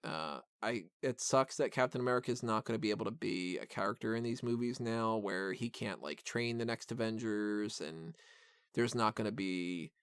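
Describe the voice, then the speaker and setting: A man's voice: on the low side, normal speed, a bit bright, clear, resonant tone, no room sound. A man hosting a podcast and talking casually about films in a rambling, informal, mildly profane fan style. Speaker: podcast host reviewing films. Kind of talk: casual film discussion.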